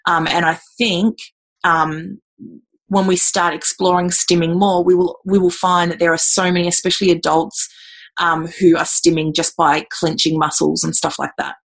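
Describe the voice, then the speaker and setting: A woman's voice: on the low side, little pitch movement, moderate pace, vocal fry. A middle-aged woman answering interview questions in a thoughtful way, with many ums and repeated words. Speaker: guest.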